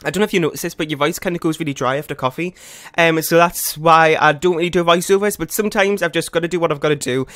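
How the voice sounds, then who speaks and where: A young man with a croaky morning voice, rambling casually and quickly with lots of fillers as he narrates a game build from his bedroom at home. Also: a little high, fairly bright tone, no room sound, clean studio sound. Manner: fast, rambling talk with many fillers.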